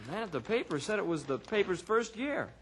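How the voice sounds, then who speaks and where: A man's voice: high-pitched, lively intonation, fairly quick, a little hiss or hum. An actor playing an eager, curious young male sidekick in an old-time radio adventure, earnest and inquisitive.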